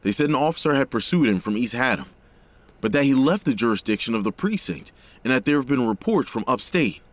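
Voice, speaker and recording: A man's voice: medium pitch, expressive, a little fast, no echo, close to an everyday voice. An actor playing a worried, desperate father in an audio drama, earnest, urgent and emotional. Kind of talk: dramatic audio fiction acting.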